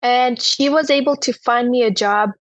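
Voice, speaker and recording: A young woman from Turkmenistan with a Turkmen accent, telling her personal story as an interview guest in a halting way, with many "you know" fillers. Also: a little high, dry recording, muffled recording.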